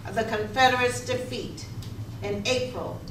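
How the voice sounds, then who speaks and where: A woman's voice: on the high side, steady tone, normal speed, recorded from a distance. Woman, formal stage reading, echoey room, noisy recording. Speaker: readers theater reader. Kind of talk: formal historical narration. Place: echoey room, live rehearsal.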